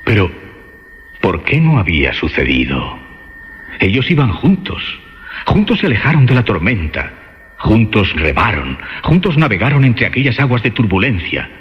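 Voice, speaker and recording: A man narrating a horror radio play in a theatrical, suspenseful way, with emphatic phrasing and dramatic pauses. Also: lowish voice, very animated, slight background noise. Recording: dull lo-fi audio under music. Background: dramatic music.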